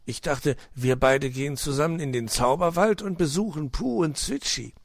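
A man's voice: fairly high pitch, moderate pace, gravelly, no room sound, studio quality, voice pushed up. A man voicing a sly, work-shy uncle fox who talks glibly and patronisingly in a children's story. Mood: flustered, coaxing. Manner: glib, exclaiming, patronising.

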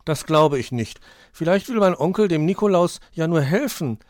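A man's voice: fairly high pitch, expressive, normal speed, darkish tone, dry recording, studio quality, voice pushed up, close to an everyday voice. A man voicing a small, friendly, curious young fox in a children's story. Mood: protesting gently.

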